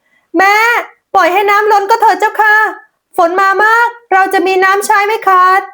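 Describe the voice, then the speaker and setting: A woman voicing a gentle, patient young village daughter-in-law in a folk tale, polite and pleading. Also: very high-pitched, little pitch movement, moderate pace, pitched above the performer's own voice. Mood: anxious. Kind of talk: dramatized folk tale narration.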